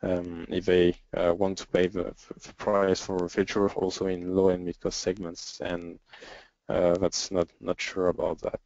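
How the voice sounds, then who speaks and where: A man with a French accent answering questions as a developer in an informal webinar, speaking hesitantly with frequent pauses. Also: sits low, completely flat delivery, a little mellow, no echo. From France. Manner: hesitant, halting, with frequent pauses and throat clearing. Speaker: software developer and webinar presenter. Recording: dull lo-fi webinar audio.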